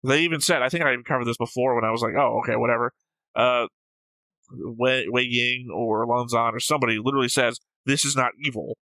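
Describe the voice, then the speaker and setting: Bright-voiced man reasoning through theories. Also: medium pitch, fairly even intonation, fast, dry recording, poor audio. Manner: methodical, argumentative explaining. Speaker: reaction show co-host. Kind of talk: casual analytical discussion.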